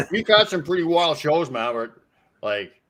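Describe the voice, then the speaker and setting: A man's voice: on the high side, very animated, slow, fairly bright tone. A man appearing as a guest on a casual live stream, rambling loosely and swearing casually.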